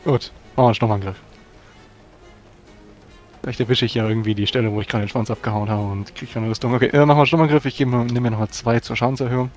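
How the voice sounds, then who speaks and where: Fast-talking male tabletop player. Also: medium pitch, fairly even intonation, darkish tone, soft background music. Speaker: tabletop role-playing player. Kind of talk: casual game chat.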